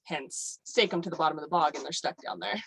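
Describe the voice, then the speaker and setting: A woman's voice: sits low, fast talker, vocal fry, a bit bright, slightly rough, dry recording, poor audio. A woman guest on a podcast, explaining at length in casual chat with many fillers like "um" and "like". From United States.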